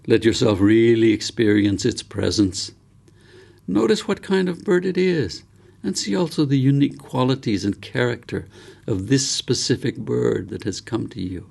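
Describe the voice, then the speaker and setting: Man reading as slow, creaky-voiced soothing guide. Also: mid-range voice, expressive, a little mellow. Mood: calm, guiding. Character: calm male guide leading an imagery exercise. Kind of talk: storybook character in audiobook.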